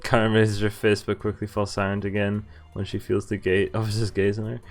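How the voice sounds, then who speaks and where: A man's voice: on the low side, monotone, a little fast. A man streaming a visual novel, reading the text aloud and adding casual commentary. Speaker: game streamer. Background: faint game music.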